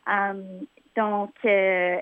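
A woman's voice: mid-range voice, level tone, very slow, clean tone, no room sound. A woman in her thirties from Northern Ontario with a Franco-Ontarian French accent, chatting casually as an interview guest and explaining things conversationally. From Northern Ontario, Canada. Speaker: interview guest, community organizer. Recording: muffled, thin phone line.

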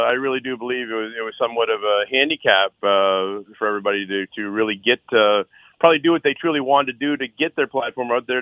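A middle-aged man from Six Nations in Canada, a council candidate on a phone-in radio interview, earnest and loud-voiced, with many uh fillers and restarts. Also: medium pitch, dry recording. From Six Nations, Ontario, Canada. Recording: dry tinny phone line.

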